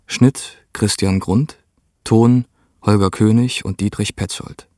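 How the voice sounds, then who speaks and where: A man reading scripted radio credits as an announcer, formal and measured. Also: fairly low pitch, steady tone, darkish tone, dry recording, studio quality.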